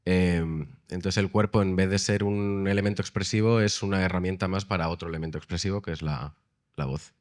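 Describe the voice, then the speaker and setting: Man, actor at a press panel, deep low voice, reflective, repeats words. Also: steady tone, fairly quick. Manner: thoughtful, restarts with repeated words. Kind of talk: live panel talk. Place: festival press event room.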